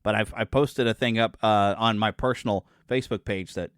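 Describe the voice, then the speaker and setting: A man's voice: on the low side, steady tone, a little fast, dry recording, clean studio sound. A man in his forties hosting his own podcast in a rambling, chatty way, often correcting himself and making jokes at his own expense. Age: 40s. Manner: self-deprecating and joking. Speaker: podcast host. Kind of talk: casual solo podcast chat.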